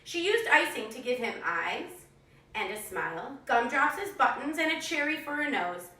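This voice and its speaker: Animated high-voiced woman storyteller. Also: normal speed. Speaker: storyteller for young children. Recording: echoey room, distant microphone.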